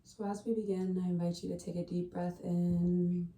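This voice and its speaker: A woman leading a guided meditation in a gentle, hushed, soothing way, with unhurried pauses between phrases. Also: on the low side, level tone, slight background noise. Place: indoors at a family home. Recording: echoey room, distant from the mic.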